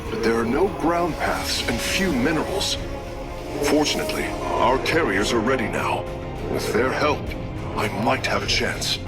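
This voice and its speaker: A man voicing an earnest, dutiful alien engineer in a video game, with formal, precise phrasing. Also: medium pitch. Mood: resolute, analytical. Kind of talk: dramatic video game voice acting.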